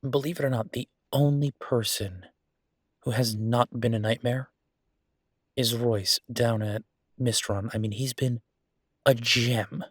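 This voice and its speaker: A male actor as an exasperated but affectionate boyfriend who runs a restaurant, in an intimate audio roleplay. His voice is husky and mid-pitched, recorded very dry. He talks in a conversational, halting way, as if to his partner. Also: steady tone, no room sound. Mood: venting, appreciative.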